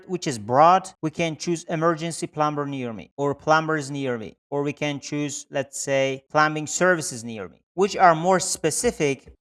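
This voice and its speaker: A man's voice: on the high side, a bit bright, smooth. A man who speaks as a narrates a screen-share tutorial as a specialist presenter, explaining methodically step by step.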